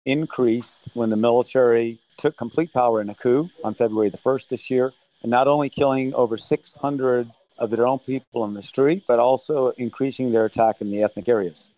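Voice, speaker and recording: A middle-aged man who grew up in Thailand, answering interview questions remotely in an earnest, measured way as the leader of a relief mission. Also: medium pitch, steady tone, dark tone, low-quality recording.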